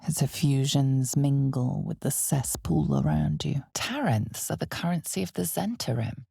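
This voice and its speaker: Grave fantasy game narrator, super creaky and breathy, deliberate and ominous, close dry sound. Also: female voice, dark tone, no echo, exaggerated voice. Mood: measured, grave. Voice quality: husky, breathy, gravelly low voice. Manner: measured, deliberate, portentous. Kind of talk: scripted dramatic fantasy game narration in second person.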